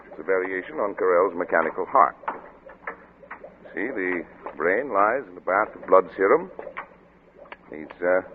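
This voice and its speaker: Through a narrow, faintly noisy vintage radio recording comes a driven man of science. His lowish voice lectures briskly and insistently, as if nothing may interrupt his work. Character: driven, obsessive male scientist and doctor, brusque father. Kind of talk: old-time radio drama acting. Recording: crackly, band-limited vintage radio broadcast.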